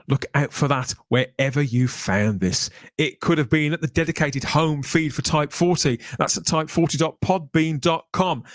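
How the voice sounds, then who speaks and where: A man's voice: medium pitch, no room sound, clean studio sound. A man presenting a podcast in a chatty, wordy, colloquial way, like radio patter. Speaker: podcast host.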